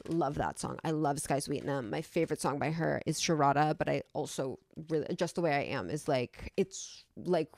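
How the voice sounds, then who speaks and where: A vlogger chatting casually to camera in a rambling, enthusiastic, casually sweary way, with a creaky voice. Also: medium pitch, moderate pace, hardly any pauses, darkish tone, no room sound, studio quality.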